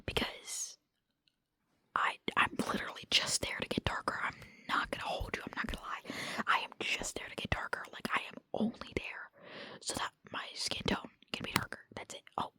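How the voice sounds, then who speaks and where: A woman's voice: normal speed, sharp tone, no room sound. A young woman whispering a rambling late-night vlog into her phone at home, casual and full of swearing. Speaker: vlogger.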